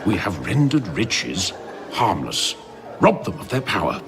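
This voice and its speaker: An actor playing a proud, mocking citizen of an ideal island in an audio drama, speaking with scornful amusement. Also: male voice, sits low, big pitch swings, a little slow, creaky, full character voice. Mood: wry amusement.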